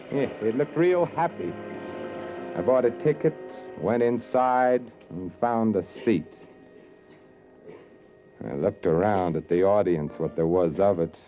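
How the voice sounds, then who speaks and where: A man's voice: lowish voice, animated, a little slow, pauses often. A man playing a cynical, world-weary newspaper columnist, narrating with wry, brooding literary delivery in 1940s radio noir. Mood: brooding, sardonic. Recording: muffled 1940s radio broadcast, music underneath.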